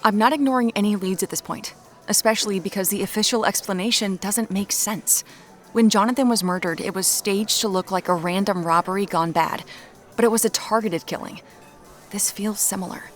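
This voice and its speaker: A woman's voice: medium pitch, a little fast, soft background music, lightly acted. Frank, stubborn amateur woman detective, acted out by a woman. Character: determined amateur woman detective hunting her sister's killer. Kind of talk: naturalistic audio drama acting.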